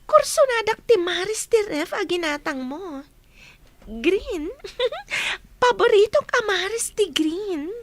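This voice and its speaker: A woman's voice: very high-pitched, big pitch swings, slow pace, no room sound, exaggerated voice. A woman playing a scheming, sweetly affectionate young mistress in an Ilocano radio comedy drama, with an Ilocano accent. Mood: sweet, delighted.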